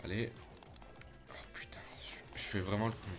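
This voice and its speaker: Excitable low-voiced male gamer streamer. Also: moderate pace, in a whisper, over music. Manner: excitable, chatty, addresses chat as "les gars"; swears when frustrated. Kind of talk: casual gameplay commentary.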